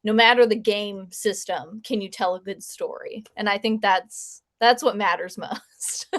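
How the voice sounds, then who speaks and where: Female guest, animated storyteller, laughs between phrases, mid-range voice. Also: mid-range voice, unhurried. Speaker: guest author and game designer. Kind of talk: casual interview chat.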